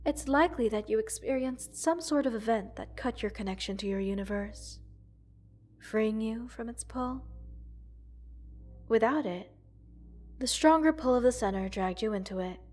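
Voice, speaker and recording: A woman voicing a gentle, curious alien scientist in an ASMR roleplay, speaking formally, precisely and reassuringly to the listener. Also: on the high side. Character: gentle, curious multi-world alien scientist studying a captive human.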